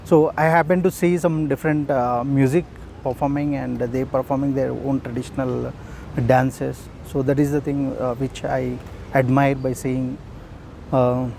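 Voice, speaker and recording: Indian man, young adult, halting street-interview answers, faint traffic behind. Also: medium pitch, creaky, warm tone. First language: Urdu. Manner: hesitant, many fillers.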